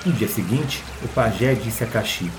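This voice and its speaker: Brazilian man narrating a story over clear ambience. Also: fairly low pitch, normal speed, background noise.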